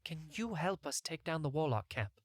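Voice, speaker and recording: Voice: medium pitch, very animated, normal speed, no room sound, clean studio sound. A narrator voicing an earnest, impulsive young male novice healer in a fantasy game story. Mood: urgent, pleading.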